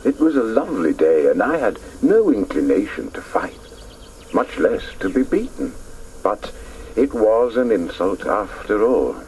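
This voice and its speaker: An actor playing a reflective grown man who recalls his boyhood in lyrical, intense radio play narration, at times hushed. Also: on the low side, big pitch swings, a little slow, a little hiss or hum, low-quality recording.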